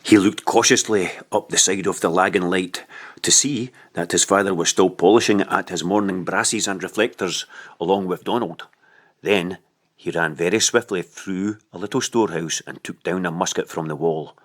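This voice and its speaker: A man reading a literary story aloud as an audiobook narrator, in an expressive storytelling style. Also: sits low, normal speed.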